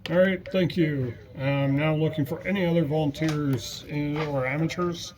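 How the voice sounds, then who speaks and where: A man's voice: on the high side, steady tone, normal speed, a crowd in the background. A man acting as net controller, calling stations in turn in an orderly, procedural way on a ham radio net.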